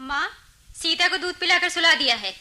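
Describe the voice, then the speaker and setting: An actress playing an outspoken young daughter, the younger sister, in a radio drama. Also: female voice, very high-pitched, moderate pace, sharp tone, exaggerated voice. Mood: firm, dutiful.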